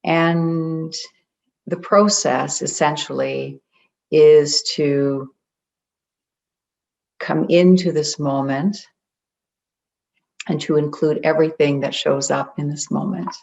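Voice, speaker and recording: A meditation guide leading a group in a gentle, deliberate way, leaving long pauses between phrases. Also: mid-range voice, creaky. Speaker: meditation guide, spiritual coach. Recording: dull, muffled lo-fi audio.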